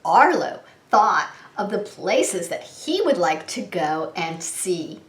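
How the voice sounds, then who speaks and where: A woman hosting preschool story time, reading a storybook with dramatic, drawn-out emphasis for small children. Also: lowish voice, very animated. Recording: roomy, echoing sound.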